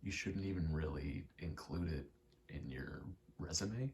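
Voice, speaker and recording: A man's voice: low voice, measured pace, a little mellow, a little hiss or hum. A young American man in his twenties rambles in a casual, candid ASMR chat, swearing now and then. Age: 20s. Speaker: ASMR rambler and aspiring actor.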